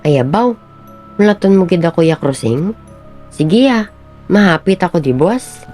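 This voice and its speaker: A woman's voice: on the low side, expressive, normal speed, warm tone, faint music behind, lightly acted. A young woman playing an earnest, lovestruck schoolboy in an amateur radio drama. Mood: concerned. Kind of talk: amateur radio drama acting in Filipino regional language.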